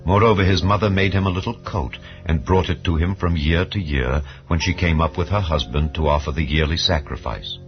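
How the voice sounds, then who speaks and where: A man narrating scripture as a dramatized reading, in a solemn, formal and measured storytelling manner. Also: deep voice. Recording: muffled lo-fi audio, music bed. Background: background music.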